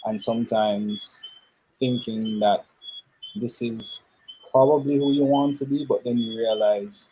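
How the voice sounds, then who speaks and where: A young man, answering interview questions in a thoughtful, measured way with pauses. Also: medium pitch, steady tone, warm tone, husky, low-quality recording. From Jamaica. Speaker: interview guest.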